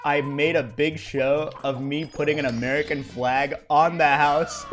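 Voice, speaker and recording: A man in his thirties, originally from India, chatting and joking with his stream chat while playing a speedrun at home, with sudden loud outbursts. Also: on the high side, lively intonation, slow, over music. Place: small home room, indoors.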